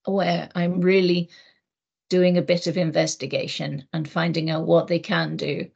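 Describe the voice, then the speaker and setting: A middle-aged woman with an English accent, a teacher giving a measured, thoughtful talk on a video call with many ums. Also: lowish voice, flat delivery, creaky, a little mellow, husky, dull audio. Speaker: EAL teacher. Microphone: laptop mic on a video call.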